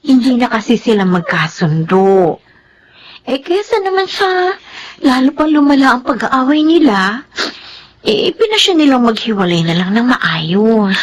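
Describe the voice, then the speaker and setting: A woman's voice: fairly high pitch, very animated, measured pace. A woman playing a devoted, protective nanny with an earnest manner in a Filipino radio drama. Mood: soothing, earnest. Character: devoted adult nanny, gentle, patient, protective.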